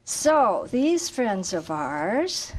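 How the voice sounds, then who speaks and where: A woman reading a picture book aloud to children in an expressive, chanting, sing-song way, engaging them with questions like a teacher. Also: mid-range voice, very slow. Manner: asks listeners questions like a teacher. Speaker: volunteer story reader for children.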